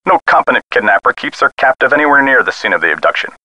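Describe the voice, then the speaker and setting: A man's voice: medium pitch, very animated, rapid-fire, bright, forceful tone, no room sound, full character voice. A man playing a brusque, skeptical police sergeant in a video game, with a dry procedural manner. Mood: businesslike.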